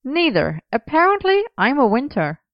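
Playful young man acted by a female reader, whinging, teasing, raised pitch, audiobook, slightly muffled sound. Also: sing-song, normal speed, dry recording. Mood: sulky. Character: playful, whiny young man, dancer and boyfriend.

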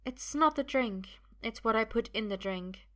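A woman voicing a quiet, calm and deliberate young sorceress with cool menace in an audiobook. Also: on the high side, voice pushed up. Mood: coolly menacing.

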